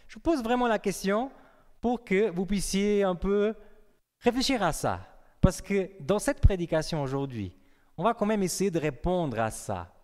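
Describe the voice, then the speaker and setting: Young male preacher, fairly high voice, chatty with the congregation, reverberant church hall. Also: lively intonation, normal speed, a little mellow. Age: young adult. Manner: conversational, asks the congregation questions. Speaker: pastor. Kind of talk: sermon.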